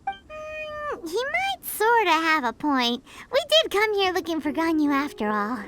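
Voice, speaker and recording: A woman's voice: extremely high pitch, very animated, a little slow, full character voice. A woman voicing a tiny, cheeky, childlike fairy companion in a video game, blunt and encouraging. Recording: loud background music.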